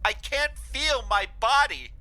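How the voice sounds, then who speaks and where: A man playing a bewildered dead husband speaking through a car radio in an audio horror drama, halting, theatrical and agitated. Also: extremely high pitch, sing-song, bright, forceful tone, full character voice. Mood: lost, frustrated.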